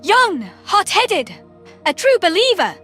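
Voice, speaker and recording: A man voicing an outspoken, sceptical human woman officer in a sci-fi audio story, questioning and animated. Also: very high voice, takes it slowly, soft background music, voice pushed up, heavily acted. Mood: incredulous.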